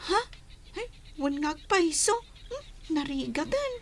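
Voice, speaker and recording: A woman's voice: high voice, sing-song, a little slow, a bit bright, dry recording, heavily acted. A woman playing a feisty young schoolgirl in a radio drama, pert and defiant in her retorts. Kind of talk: Filipino radio drama acting.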